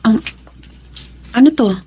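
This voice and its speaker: A woman's voice: slow pace, dark tone, muffled recording, slight background noise, natural voice. An actress playing a helpful, cautious and loyal female friend in a Filipino radio drama. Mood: wary, concerned.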